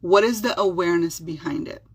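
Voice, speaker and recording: Gravelly, husky Israeli-accented woman healer. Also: lowish voice, fairly quick. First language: Hebrew. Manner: soft, soothing, meditative. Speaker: spiritual healer. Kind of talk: guided self-help talk.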